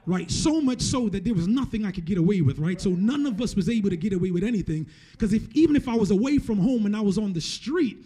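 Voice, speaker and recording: A man in his thirties preaches with a high, mellow voice. He talks to his congregation conversationally, circling back over his phrases and checking in with "right?" as he goes. He is on a handheld microphone. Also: expressive, quick pace, hardly any pauses. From Jamaica, moved to the US at eleven. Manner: repeats and restarts phrases for emphasis, often tags points with "right?". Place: church stage, indoors. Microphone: handheld microphone held close.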